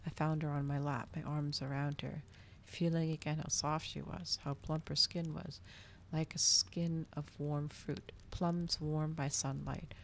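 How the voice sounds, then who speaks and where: A woman with a low voice and noticeable vocal fry speaks softly into a close mic in an ASMR style. She moves between storybook narration and cozy streamer chat, often near a whisper, with some noise in the background. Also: flat delivery, measured pace. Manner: soft-spoken, often slipping into whispers. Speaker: streamer reading aloud to viewers.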